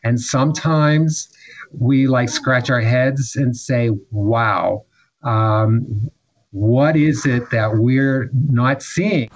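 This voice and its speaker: A man from child welfare work speaking slowly and hesitantly in an interview. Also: medium pitch, dull audio. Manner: slow, hesitant, with soft laughs.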